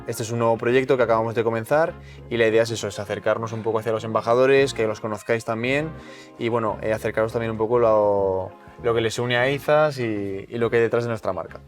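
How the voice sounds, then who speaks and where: A man's voice: medium pitch, steady tone, quick pace, soft background music. A man hosting a brand podcast, asking long, rambling questions full of hesitations. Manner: hesitant, many "eh" fillers and restarts, rambling questions. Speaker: podcast host. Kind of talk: casual interview chat.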